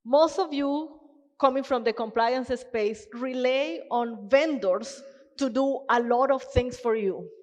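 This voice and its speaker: A woman's voice: sits high, takes it slowly, a little mellow. A woman from Colombia with an accent, giving an earnest, passionate conference presentation with frequent fillers. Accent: Colombian-Canadian Spanish-tinged English. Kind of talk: keynote presentation. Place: conference hall. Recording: long hall reverb.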